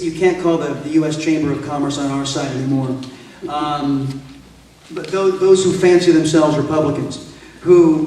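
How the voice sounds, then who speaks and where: Male radio host as forum moderator; halting, filler-heavy, wry; distant mic in a booming room with faint noise. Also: mellow, heavy reverb. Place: museum event hall.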